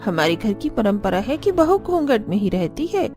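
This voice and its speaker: A woman's voice: medium pitch, sing-song, normal speed, natural voice. A woman voicing a bossy but resigned elder sister-in-law in a cartoon family story, with music underneath.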